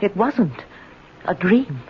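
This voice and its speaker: A woman's voice: medium pitch, sing-song, takes it slowly. An actress playing an older, timid, lonely spinster in a radio drama, faltering and plaintive as she repeats herself. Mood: insistent. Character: frantic, lonely retired spinster, timid and pleading. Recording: muffled, hissy old radio recording.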